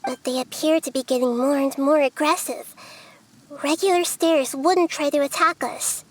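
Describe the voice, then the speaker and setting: A woman voicing a small, gentle girl goddess who explains things in a measured, earnest way in a video game. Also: high voice, steady tone, lightly acted. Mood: thoughtful. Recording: music behind the voice.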